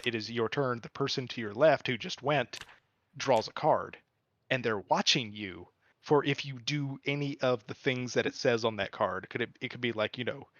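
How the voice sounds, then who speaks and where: A man's voice: medium pitch, a bit bright, no room sound. A man chatting casually and rambling through explanations with lots of fillers. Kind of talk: casual chat. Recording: dull dry mic.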